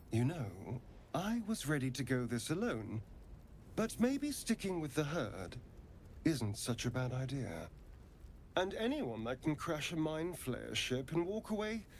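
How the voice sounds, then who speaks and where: An actor playing a suave, sardonic rogue in a video game, with a theatrical drawl and arch politeness. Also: male voice, mid-range voice, slight background noise. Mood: smug, agreeable. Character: suave, sardonic male vampiric rogue, charming and wary.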